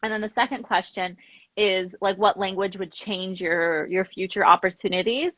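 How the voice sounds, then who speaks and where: A woman's voice: mid-range voice, steady tone, moderate pace, fairly bright tone, dry recording, lo-fi sound. A woman in her thirties from Los Angeles who founded a family language program, chatting over a video call and laughing often. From Los Angeles, USA. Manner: bubbly, laughs often, many "like" fillers.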